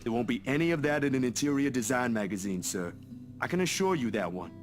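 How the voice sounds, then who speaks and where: An actor playing a polite, nervous young photographer in a visual novel game, hesitant and deferential, stammering a little. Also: male voice, mid-range voice, lively intonation, fast talker, close to an everyday voice. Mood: apologetic. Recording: guitar music behind the voice.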